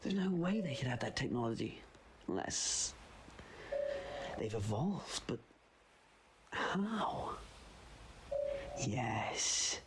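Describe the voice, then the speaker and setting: A man playing a quirky, quick-witted time traveller in an audio drama, flippant yet assertive. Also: mid-range voice, big pitch swings, noisy background. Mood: pondering.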